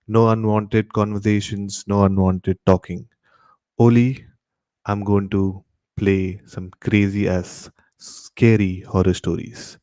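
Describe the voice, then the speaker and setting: A man hosts a late-night horror radio show in a low-pitched, very mellow voice. He speaks as a presenter introducing a live stream, and the sound is close and dry. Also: no echo.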